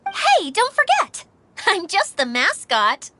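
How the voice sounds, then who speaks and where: A woman's voice: very high-pitched, huge pitch swings, slow pace, sharp tone, no room sound, full character voice. A woman voicing a cheery, playful little mascot creature in a video game, theatrical and bubbly. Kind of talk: video game character dialogue.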